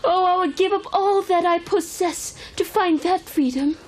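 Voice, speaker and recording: A woman's voice: very high voice, normal speed, a little mellow, slight background noise. A woman voicing a wealthy, discontented courtesan in a cartoon, speaking earnestly and formally as she seeks freedom. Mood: melancholy. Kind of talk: cartoon voice acting.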